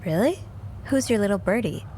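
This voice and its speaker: Young woman, medium pitch, earnest curious amateur sleuth, acted, understated and hesitant, video game dialogue, faint background noise. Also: expressive, moderate pace, warm tone, lightly acted. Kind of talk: naturalistic video game acting.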